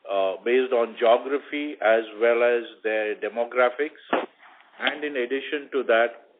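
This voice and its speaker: A man with a mid-pitched voice talks through business points as an executive would. His delivery is measured and explanatory. It comes over a narrow, tinny conference phone line. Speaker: bank executive. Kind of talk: spontaneous business explanation on an earnings call. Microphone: conference call line.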